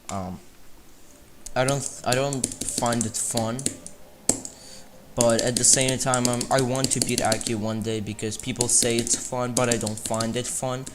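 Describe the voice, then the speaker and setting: A young man talks loosely to his chat while gaming, rambling and often correcting himself mid-sentence. His voice sits in a middle pitch, and faint keyboard clicks tap along in the background of his home desk mic. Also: background noise. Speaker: streamer. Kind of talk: casual gaming livestream chat.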